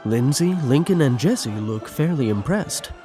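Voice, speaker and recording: A man's voice: mid-range voice, lively intonation, measured pace, music in the background. A man narrating the action of a scripted audio drama.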